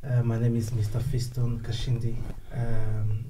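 A man in his late twenties from Congo, telling his personal story as a podcast guest, hesitant and halting. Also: medium pitch, completely flat delivery, background noise. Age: late 20s. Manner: hesitant, halting with many uh fillers. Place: studio set with wooden slat wall. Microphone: desk mic on stand.

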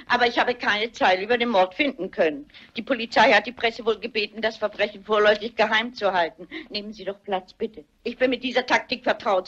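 An actress playing a sharp-witted old English spinster sleuth, brisk, insistent and precise, in a vintage film dub. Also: female voice, fairly high pitch. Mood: stern, affronted. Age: older. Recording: dull vintage film soundtrack.

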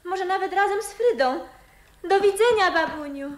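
A woman's voice: extremely high pitch, animated, moderate pace. An actress playing a caring young granddaughter in a 1960s radio play, eager and solicitous toward her grandmother. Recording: old radio play with long reverb.

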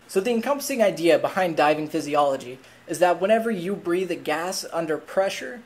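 A young man in his twenties explains things casually to his webcam from a small room at home. His voice is slightly raspy from a cold. His delivery is upbeat and conversational, with joking asides. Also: fairly high pitch. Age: 20s. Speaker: vlogger, commercial diver.

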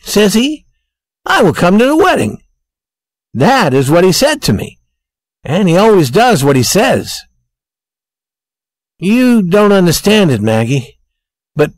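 A woman's voice: on the low side, no room sound, pitched above the performer's own voice. A woman voicing a humble, earnest man in love in a storybook. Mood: troubled. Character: humble, earnest little man in love, proud of his friend.

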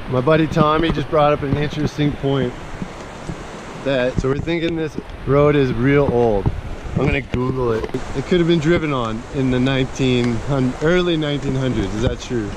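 A man's voice: medium pitch, normal speed. A young man vlogging casually on a forest hike, joking and laughing with friends, filmed on a handheld action camera outdoors. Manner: laid-back, laughing, joking. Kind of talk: casual hiking vlog. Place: outdoors on a forest trail by a creek. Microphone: action camera held at arm's length. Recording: loud outdoor noise on camera mic.

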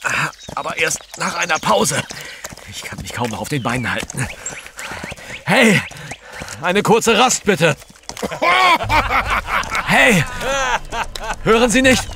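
An actor playing a fussy older British gentleman in an audio drama, blustering and whining. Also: a little high, lively intonation, slow pace, vocal fry, long pauses, noisy background, heavily acted. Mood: weary, pleading.

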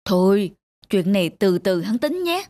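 An actor playing a patient young husband in a cartoon, reasoning and placating, with a northern Vietnamese accent. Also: male voice, sits high, very animated, unhurried, a little mellow, no echo, exaggerated voice. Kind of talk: animated moral-tale dialogue.

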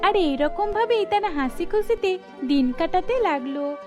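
A woman's voice: very high voice, animated, unhurried. A woman storyteller reading scripted narration for a cartoon, with music underneath.

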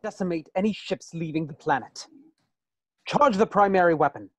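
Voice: medium pitch, normal speed, dry recording, close to an everyday voice. An actor reading a cold, commanding villainous general in a film script table read.

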